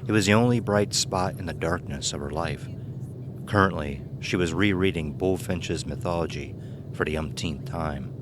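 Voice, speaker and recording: A man's voice: deep voice, background noise. A man narrating and announcing from a script for an audio drama. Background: music.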